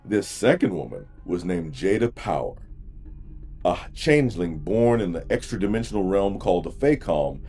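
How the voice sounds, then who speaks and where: A man narrates a fantasy audio drama in a very deep, dark voice. His measured storytelling moves through lively, theatrical intonation. Faint music runs underneath.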